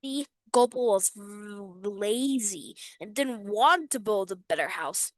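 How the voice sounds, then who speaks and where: A woman teacher reading out quiz questions and telling viewers to pause the video, in a chatty, instructing manner. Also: medium pitch, lively intonation, no room sound.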